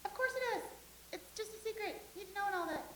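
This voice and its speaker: A gushing, naive young actress, played by a woman, talks about herself with giggles. Her voice is high and bright, and the room rings with echo. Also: expressive, fast. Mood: boastful. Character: vain, starry-eyed young stage actress, woman. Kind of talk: staged play reading. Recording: echoey room.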